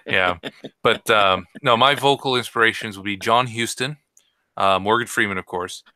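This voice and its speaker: A man's voice: on the low side, moderate pace, sharp tone, no echo. A man in casual chat who trained his voice to sit further back in his throat, rambling with many fillers.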